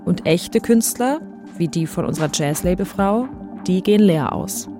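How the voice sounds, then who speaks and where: A woman's voice: on the low side, lively intonation, normal speed. Woman podcast host, conversational scripted narration, music playing under her.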